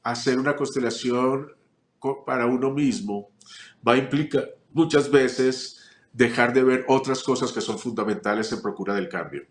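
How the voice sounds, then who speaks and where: A man from Chile, a family constellations teacher, answering interview questions reflectively, with frequent pauses and restarts. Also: mid-range voice, reverberant. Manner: reflective, many fillers and restarts. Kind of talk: relaxed interview conversation.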